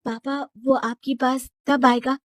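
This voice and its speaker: Woman as young rich daughter in a story: stubborn, emotional, insistent, high voice, dry sound. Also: little pitch movement, measured pace, no room sound. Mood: pleading. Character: headstrong young rich daughter, sincere and lovelorn.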